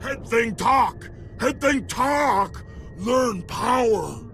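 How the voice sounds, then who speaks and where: An actor playing a brutish, impatient alien raider leader in a video game, barking broken few-word commands in a gravelly voice. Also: male voice, very high voice, expressive, drawn-out words, fairly bright tone, a little hiss or hum, exaggerated voice. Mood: agitated. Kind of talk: video game creature acting.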